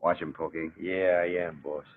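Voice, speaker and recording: Surly crook, very low voice, wary, 1940s radio drama, noisy narrow recording. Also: male voice, steady tone, normal speed. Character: surly, wary gangster men, boss and henchman. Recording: muffled, hissy old radio recording.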